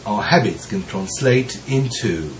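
A man gives a teaching talk at a very unhurried pace, and his voice is still deepened from a recent bout of bronchitis. He speaks gently and leaves long pauses between phrases. A faint hiss sits under the recording. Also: expressive, low-quality recording. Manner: slow, pausing, gentle with soft laughs. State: recovering from bronchitis, deep voice. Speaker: Zen teacher. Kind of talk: slow reflective teaching talk.

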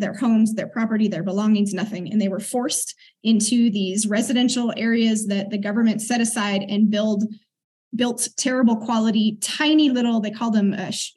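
A middle-aged woman, a podcast guest, earnestly and reflectively recounting her experiences and clearing her throat now and then. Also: a little high, darkish tone. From United States. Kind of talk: conversational interview storytelling.

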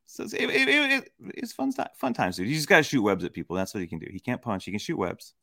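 A man's voice: medium pitch, huge pitch swings, fast talker, vocal fry, sharp tone, no room sound, studio quality. A man in his thirties co-hosting a podcast, chatting casually, saying "dude" a lot and acting out quotes. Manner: laid-back, says "dude" a lot, acts out quotes. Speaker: podcast co-host. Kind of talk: casual chat.

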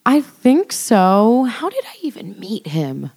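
A woman's voice: fairly high pitch, wildly swooping pitch, slow pace, darkish tone, breathy edge. A young woman, a band vocalist, talking casually as a podcast guest, loose and chatty with lots of fillers. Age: young adult. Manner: chatty, filler-heavy, laughs often.

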